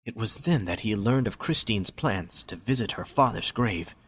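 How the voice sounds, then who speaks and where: A man's voice: fairly low pitch, animated, moderate pace, a bit bright, breathy edge. A man reading scripted story narration as the narrator of an amateur audio drama.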